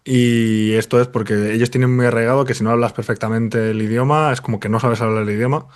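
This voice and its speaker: A man's voice: medium pitch, fairly even intonation, fast talker. A man on a casual podcast panel, explaining a point with examples.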